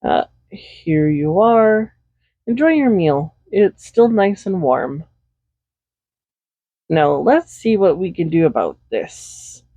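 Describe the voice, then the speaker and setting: A woman playing a doting, possessive villain in an intimate roleplay audio, speaking softly and without hurry in a gently teasing manner. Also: on the low side, very animated, no echo, exaggerated voice. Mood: tender, caring. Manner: soft-spoken, unhurried, gently teasing. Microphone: Blue Yeti USB microphone, close. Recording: slightly dull home microphone recording.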